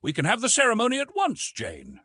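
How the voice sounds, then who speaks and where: Impatient, domineering gentleman suitor for a novel reading acted out by a man, curt, husky, animated. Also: very high-pitched, a little fast, dry recording, studio quality, pitched above the performer's own voice, full character voice. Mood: brisk. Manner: forceful, demanding, curt.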